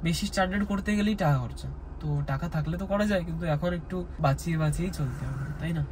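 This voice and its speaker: A man's voice: fairly high pitch, very fast. A young man with an Indian Bengali accent chats casually to viewers, explaining things and mixing in English words. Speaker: vlogger. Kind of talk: casual chatty vlog to viewers. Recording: noisy outdoor vlog with traffic hum.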